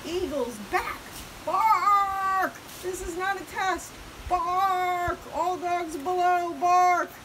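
Lady doing big bossy storybook prairie dog guy, loud barky delivery, raised pitch, faint hiss. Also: expressive, takes it slowly, hardly any pauses, heavily acted, poor audio. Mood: alarmed, barking. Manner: barking, snarling, declamatory. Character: Big Bark, boastful loud male prairie dog bully turned watchdog. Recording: hissy background noise.

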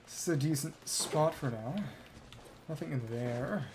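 A man's voice: fairly high pitch, a little slow, noisy background. A man streaming a video game, giving casual live commentary in short fragments, muttered to himself.

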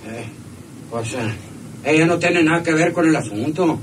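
A man's voice: on the high side, expressive, moderate pace, lightly acted. An actor playing a deferential, flustered hacienda henchman, hesitant in his explaining, in a radio drama. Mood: nervous, deferential. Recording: noisy old radio serial recording.

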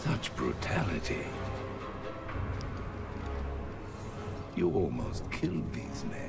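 An actor playing a menacing, coldly sinister villain in a video game, speaking in ominous, deliberate monologue. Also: male voice, low-pitched, huge pitch swings, gravelly, heavily acted. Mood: cold gloating. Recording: loud music over the voice.

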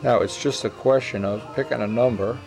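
A middle-aged man gives an informal craft demonstration at a workbench. His voice sits at an average male pitch, and he explains each step in short phrases, as if working it out aloud. Music plays behind him. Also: fairly quick, creaky. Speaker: model airplane builder giving a tutorial. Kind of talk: informal hands-on workshop demonstration. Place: indoor workbench.

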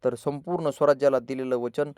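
A man narrating a scripted children's story as a storyteller, with measured, dramatic delivery in short phrases. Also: mid-range voice, steady tone, heavy background noise.

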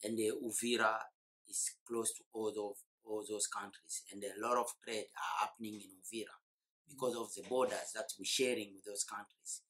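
A man's voice: on the low side, fairly even intonation, moderate pace, fairly bright tone, poor audio. A man in his forties from eastern Congo, explaining at length and thoughtfully in an oral history interview, with hesitant fillers, recorded indoors on a lapel mic. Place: indoor room.

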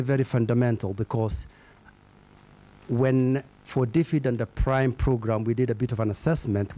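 A man's voice: mid-range voice, mellow. A middle-aged man making a long, discursive, hedging comment as a participant in a conference discussion. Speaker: conference participant asking a question. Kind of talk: extended question and comment at a panel discussion. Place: indoor conference meeting room. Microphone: handheld microphone.

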